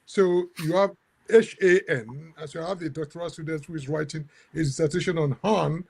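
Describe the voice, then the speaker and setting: A man moderating a book discussion in an academic way, halting and repeating words as he builds his point. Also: fairly high pitch, vocal fry, darkish tone, husky.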